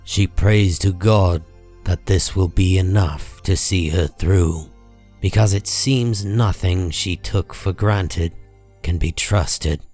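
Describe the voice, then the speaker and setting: Male narrator, low voice, slow pace, grave, scripted comic book storytelling, soft music behind.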